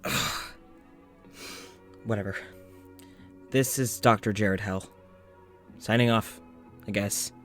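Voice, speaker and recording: A man playing a weary, frustrated doctor with memory loss in an audio drama, recording a spoken journal with halting, sighing delivery. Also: lowish voice, fairly even intonation, a bit bright, soft background music. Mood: pained, weary. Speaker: voice actor playing podcast's lead character.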